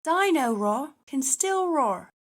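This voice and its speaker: A woman voicing a bright, reassuring mother pig in a children's storybook, with a soothing manner. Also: sits high, sing-song, takes it slowly, voice pushed up, full character voice.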